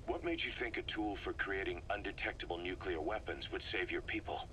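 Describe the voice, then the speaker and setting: A man playing a gruff, probing middle-aged military man in a video game, speaking in a terse, blunt, world-weary way. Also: deep voice, fairly quick, pushed and bright, slight background noise, rough recording. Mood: probing, sober. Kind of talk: dramatic video game dialogue.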